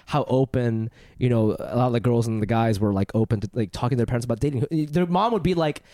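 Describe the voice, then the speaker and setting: A man's voice: medium pitch, quick pace, dark tone, no echo, clean studio sound. A man, co-hosting a podcast and telling rambling stories with many restarts. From Japan. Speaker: podcast co-host. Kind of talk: casual chat.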